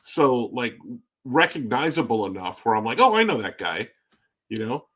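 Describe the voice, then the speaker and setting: A man co-hosting a casual podcast. His pitch moves up and down a great deal as he riffs. He fills his loose, joking comments with "like" and "you know.". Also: sing-song, moderate pace, fairly bright tone. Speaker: podcast co-host.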